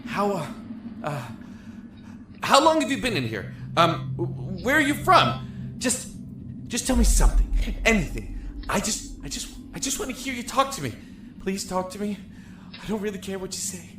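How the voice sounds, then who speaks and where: An actor playing a lonely, emotional young man in a prison cell in an audio drama, talkative and halting. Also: high-pitched, big pitch swings, noisy background. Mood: pleading, overwrought. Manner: halting, rambling, effusive. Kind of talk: audio drama acting with first-person narration. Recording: echoey room with music behind.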